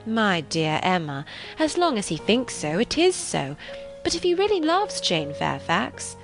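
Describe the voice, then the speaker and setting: A woman voicing a genteel married woman in an audiobook, a calm and teasing friend who reasons in measured, formal period phrases. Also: mid-range voice, music in the background. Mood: gently persistent.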